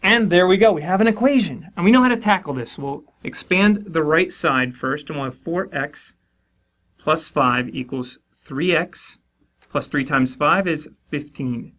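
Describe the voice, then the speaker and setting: A man in his forties teaches a math lesson at a board in a studio, talking in a slightly higher than usual voice. He explains each step plainly and slips in dry jokes at his own expense. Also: unhurried, a bit bright. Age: 40s. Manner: dry self-deprecating humor. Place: studio in front of a dark board.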